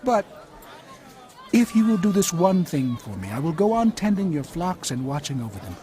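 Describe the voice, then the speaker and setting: Earnest, higher-voiced shepherd patriarch. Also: male voice, big pitch swings, moderate pace, warm tone, slight background noise. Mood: earnest, insistent. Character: hardworking, determined shepherd patriarch Jacob, bargaining with his father-in-law. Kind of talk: audio Bible drama acting.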